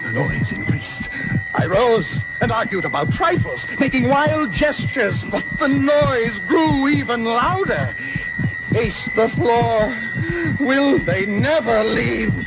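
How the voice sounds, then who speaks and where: High-pitched, guilt-crazed murderer. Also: male voice, flat delivery, slow pace, creaky, few pauses, full character voice, rough recording. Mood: agitated. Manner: theatrical, feverish, overwrought. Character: guilt-crazed male murderer, frantic, cracking into hysterical confession. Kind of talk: gothic horror storytelling. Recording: loud music behind the voice.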